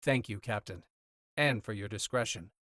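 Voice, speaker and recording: A man voicing a reserved, protective knight who speaks in a low, measured way. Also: fairly bright tone, dry recording, clean studio sound, natural voice. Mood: grave.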